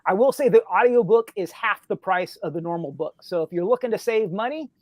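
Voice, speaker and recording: A man with a squeaky voice chatting casually as a guest author on a podcast. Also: brisk, no echo. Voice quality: high, squeaky voice.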